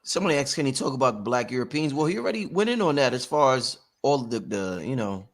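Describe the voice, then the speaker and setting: A man's voice: medium pitch, big pitch swings, fast. A man hosting a live talk show, speaking casually and informally to his audience as family.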